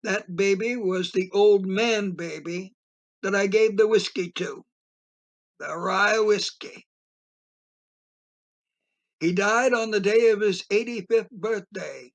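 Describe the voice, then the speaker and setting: Slow, wistful old man storyteller acted by a man. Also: sits high. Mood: somber reminiscing. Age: 80s. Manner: wistful, repetitive, halting. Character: old man of eighty-four, musing storyteller awaiting death. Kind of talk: audio play monologue.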